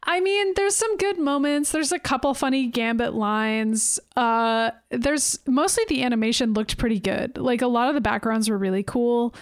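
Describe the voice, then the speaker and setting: Thirties female podcast co-host, higher voice, dry close sound, laughs easily. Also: normal speed, few pauses, smooth, no echo. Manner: laughs often, dry wry asides. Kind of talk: casual chat.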